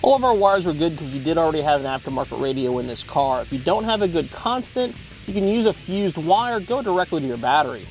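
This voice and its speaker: A man's voice: a little high, sing-song, fast, hardly any pauses, faint music behind. A man hosting an instructional car installation demonstration, explaining step by step in plain-spoken language. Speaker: host of car installation how-to videos.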